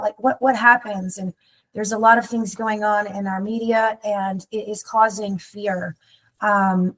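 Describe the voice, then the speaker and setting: A woman's voice: mid-range voice, rough recording. Woman hosting a video-call webinar. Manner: warm, informal, rambling with restarts. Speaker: nonprofit staff host of a community webinar. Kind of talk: hosting an online meeting, introducing speakers.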